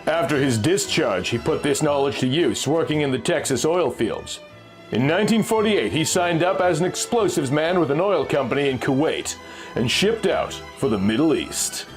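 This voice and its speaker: A man's voice: on the high side, lively intonation, normal speed. A man narrating a pulp adventure story from a script, as a storyteller reading aloud. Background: music.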